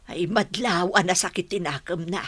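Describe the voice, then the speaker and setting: A woman's voice: on the low side, normal speed, a bit bright, husky, dry recording. A woman playing an anxious older mother and grandmother in a radio drama, fretting and lamenting.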